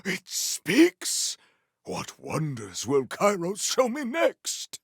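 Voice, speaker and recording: A man playing a solemn, proud warrior hunter in a video game, speaking in formal, stilted, declamatory sentences with a gravelly low voice. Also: wildly swooping pitch, very slow, no echo, studio quality, exaggerated voice. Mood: bold, grandiose. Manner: formal, stilted, declamatory speech without contractions. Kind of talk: video game character acting.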